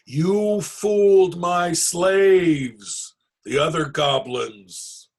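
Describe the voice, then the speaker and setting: A man voicing a terrifying goblin king for a storybook, speaking in slow, drawn-out, ominous phrases. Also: high voice, voice pushed up, exaggerated voice. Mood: quietly menacing. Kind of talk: storybook read-aloud villain.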